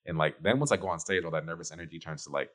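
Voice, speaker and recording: A young man from Texas, a rapper guest on a radio show. His voice sits very low and he races through his words. His speech rambles, with "like" thrown in all the time. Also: fairly bright tone. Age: young adult. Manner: quick, rambling, heavy use of "like". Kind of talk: casual radio interview.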